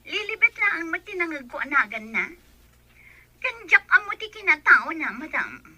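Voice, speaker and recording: A sneaky office tattler in a radio drama. Her voice is very sharp and high, dry and close, with an insinuating, deferential lilt. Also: big pitch swings, moderate pace, no echo, full character voice. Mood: secretive, deferential. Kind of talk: Ilocano radio drama acting.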